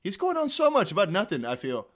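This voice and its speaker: Dutch-accented man giving excited live commentary. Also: sits high, fast talker. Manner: excitable, chatty, laughs often. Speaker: crypto news streamer. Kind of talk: live commentary on a court hearing.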